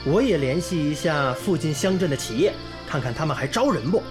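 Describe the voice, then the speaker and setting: An actor playing a devoted, earnest young village schoolteacher in a radio drama. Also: male voice, on the high side, expressive, brisk, natural voice. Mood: thoughtful, eager. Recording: music behind the voice.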